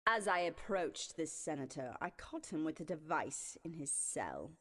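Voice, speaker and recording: An actress playing a devoted, smoothly sinister villainess queen in an audio drama, formal and adoring in manner. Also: low-pitched, big pitch swings, unhurried, fairly bright tone, exaggerated voice. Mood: sly.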